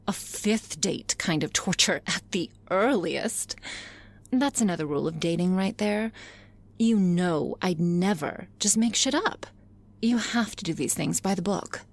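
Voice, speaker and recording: A woman plays a confident female office boss in an intimate ASMR roleplay. Her voice sits fairly low with plenty of fry. She speaks frankly and swears freely, musing with wry pauses as if to one listener. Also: expressive. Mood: teasing, wry.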